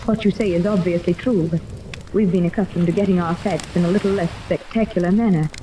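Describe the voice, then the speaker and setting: A woman's voice: fairly low pitch, steady tone, fast, lightly acted. An actress in a 1930s radio serial playing an anxious, genteel mother, polite and earnest in manner. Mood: polite, uneasy. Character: anxious, genteel wealthy mother seeking her lost daughter. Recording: distant, faintly noisy old radio recording.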